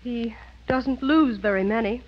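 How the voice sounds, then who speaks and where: An actress playing a lovelorn housemaid in 1930s radio comedy style. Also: female voice, a little high, unhurried. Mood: guarded. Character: housemaid secretly pining for the butler. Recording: muffled vintage radio broadcast.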